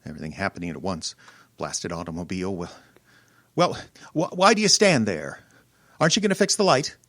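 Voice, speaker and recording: A man's voice: low voice, lively intonation, fairly quick, a bit bright, no echo. An actor playing an irascible, domineering rich older man, imperious and sputtering, who turns cowardly under threat, in old-time radio horror style. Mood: alarmed, demanding. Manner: blustering, imperious, sputtering and stammering.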